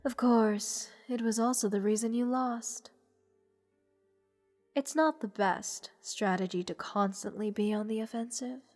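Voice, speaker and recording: A woman playing a haughty, bored goddess in a roleplay audio drama, condescending and teasing in a languid, breathy delivery. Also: a little high, fairly even intonation, a little slow, darkish tone, natural voice. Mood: condescending, analytical. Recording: long reverb, music underneath. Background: orchestral music.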